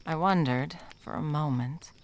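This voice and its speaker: A woman playing a menacing, scornful monster hunter in an ASMR roleplay, speaking in a creaky, deliberate, dramatic way. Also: low voice. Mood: gentle, wary.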